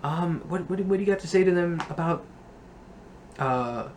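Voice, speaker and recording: A man's voice: a little high, normal speed, darkish tone, a little hiss or hum. A man hosting a casual movie review, talking as an enthusiastic fan in a room full of memorabilia.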